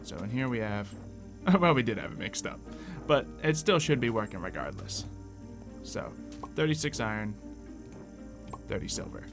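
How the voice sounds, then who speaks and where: A man's voice: on the low side, sing-song, moderate pace, music in the background. A man doing casual gameplay commentary with some light roleplay, rambling and thinking aloud as he goes. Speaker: gaming video host.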